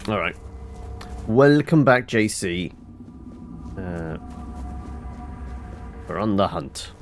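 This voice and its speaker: A man's voice: low-pitched, expressive, extremely slow, faint music behind. A man giving casual, wry gameplay commentary with offhand asides as he streams. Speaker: game streamer.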